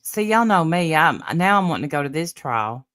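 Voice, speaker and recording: A woman from Tennessee chats with viewers in a low, lively voice that rises and falls a great deal. Her voice is congested from sinus trouble, and she halts and restarts often. The recording is close and dry. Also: no echo. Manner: halting, restarts a lot. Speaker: podcast co-host. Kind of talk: casual chat with live viewers.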